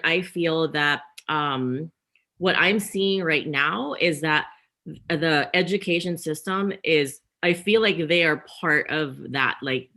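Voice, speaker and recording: A woman's voice: deep voice, bright, forceful tone. A woman from the Philippines, answering interview questions at length with many fillers. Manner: thoughtful, many fillers and restarts. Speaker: guest author.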